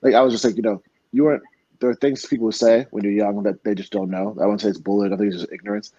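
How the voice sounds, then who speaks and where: Warm, low-voiced young man, heartfelt. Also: fairly even intonation, fast talker, husky, dry recording, dull audio. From Florida, United States. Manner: earnest, heartfelt storytelling with many fillers. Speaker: MMA fighter guest. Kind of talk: casual interview chat.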